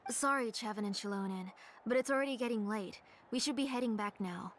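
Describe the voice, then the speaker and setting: A woman's voice: on the high side, a little fast, natural voice. A woman voicing a composed, caring but firm mother in a video game.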